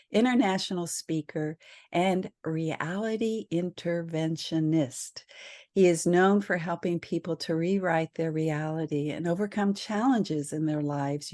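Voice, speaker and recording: An older woman, a psychologist, hosting a podcast interview in casual chat, laughing often. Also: fairly low pitch. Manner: slow, warm, laughs often.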